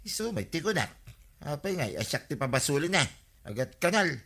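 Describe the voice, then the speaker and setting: A man's voice: a little high, big pitch swings, brisk, pushed and bright, heavily acted. A man with an Ilocano accent playing a nosy, grumbling neighbour in a radio comedy drama, full of questions and exclamations. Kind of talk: broad regional radio comedy drama acting.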